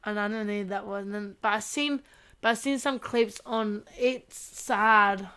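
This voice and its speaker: A woman's voice: medium pitch, a little slow, sharp tone, smooth. A woman co-hosting a casual movie chat podcast, giving brief, plain-spoken answers and repeating her phrases. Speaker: podcast co-host.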